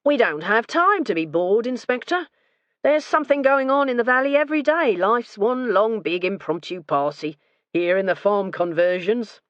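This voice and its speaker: A hearty, talkative retired man, as a woman reader puts him on, pitched above her own low voice and bubbling with pride. The recording is dry and a little dull. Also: animated, moderate pace, dry recording, close to an everyday voice. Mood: boastful. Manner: jovial, chatty. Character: brisk retired man, proud cheerful host.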